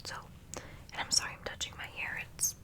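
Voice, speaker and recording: Whispering young woman, congested and nasal. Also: fairly quick. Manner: chatty, gushing, many ums. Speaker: ASMR creator. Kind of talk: whispered ASMR chat.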